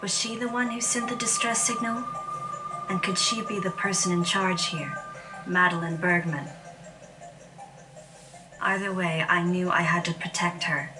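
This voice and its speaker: An actress playing a solemn female warrior, narrating her memories in a game with measured, introspective seriousness. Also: on the low side, steady tone, natural voice. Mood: somber reflection. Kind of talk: scripted game monologue narration. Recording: loud background music, slightly distant.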